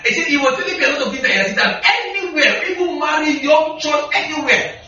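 A man's voice: very high-pitched, expressive, fast, sharp tone. A man from northern Nigeria with a Nigerian accent, preaching a sermon and often putting questions to the congregation. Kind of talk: sermon with call and response. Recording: echoey, distant, slightly muffled hall recording.

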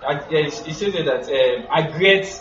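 Male congregant, bright, deferential replies, far off-mic in an echoey hall. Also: on the high side, moderate pace. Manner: responds briefly and deferentially. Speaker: congregation member reading scripture. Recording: echoey, distant, slightly muffled hall recording.

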